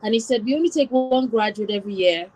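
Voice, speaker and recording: A woman's voice: a little high, quick pace, a little mellow, soft background music, poor audio. A woman born in Wales and raised in Nigeria, telling her personal story step by step as an interview guest, in a reflective way. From born in Wales, raised in Nigeria from about nine.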